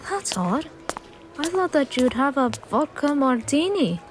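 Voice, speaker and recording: A woman's voice: sits high, sing-song, a little slow, faint music behind. A woman playing a wry, observant young female adventurer in a fan audio drama. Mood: curious.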